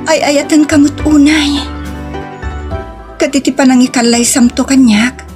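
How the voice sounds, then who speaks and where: A woman's voice: high-pitched, steady tone, unhurried, a little mellow. A woman with a Filipino accent playing an emotional young woman in love in an audio drama, with a sweet, emotive delivery. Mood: tender, overwhelmed. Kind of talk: romantic audio drama acting. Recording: music under the voice.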